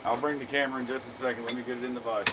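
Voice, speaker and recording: A man's voice: medium pitch, little pitch movement, racing, very noisy. A man hosting a blacksmithing live stream, chatting casually and jokingly with viewers as he demonstrates his work in a workshop. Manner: folksy, joking, talks to viewers by name. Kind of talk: casual chat while demonstrating forge work. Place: workshop forge.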